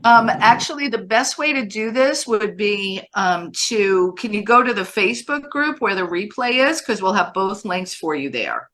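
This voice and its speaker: A woman in her sixties with a bright, forceful voice hosts a live webinar. She keeps things upbeat and chatty, and stumbles and restarts now and then as she brings callers in. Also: mid-range voice, animated, normal speed, few pauses. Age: 60s. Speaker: host. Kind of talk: live webinar hosting, taking questions.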